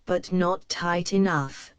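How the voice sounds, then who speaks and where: A woman reading a children's picture book aloud, slow and careful for young learners. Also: on the low side, background noise. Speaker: storybook reader.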